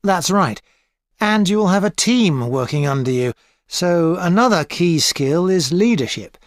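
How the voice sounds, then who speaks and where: An actress playing an experienced tutor advising a student in a scripted exam dialogue, calm and measured. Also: female voice, on the low side, dry recording. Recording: dry studio recording.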